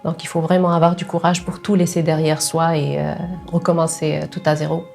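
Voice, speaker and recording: A middle-aged woman with an Algerian accent giving personal testimony in a hesitant way, with frequent pauses. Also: deep voice, little pitch movement, gravelly, a little mellow, soft background music. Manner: hesitant, pausing often. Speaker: documentary interviewee, sales assistant.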